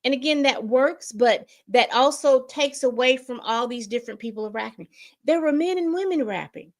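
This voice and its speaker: A woman's voice: high-pitched, normal speed. A woman in her fifties giving a casual commentary monologue, emphatic and repetitive, often restarting her sentences. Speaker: commentary host.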